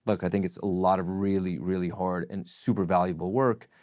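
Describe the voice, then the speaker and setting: Low-voiced reflective Russian-born man. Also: steady tone, a little mellow, no room sound, clean studio sound. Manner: reflective, measured storytelling. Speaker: podcast guest. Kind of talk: casual interview chat.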